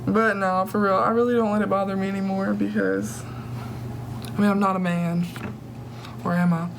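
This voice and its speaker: Chatty young woman with a deep voice. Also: level tone, moderate pace, background noise. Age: young adult. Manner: chatty and joking, heavy use of "like" and "literally". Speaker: beauty vlogger. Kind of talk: casual makeup tutorial chat.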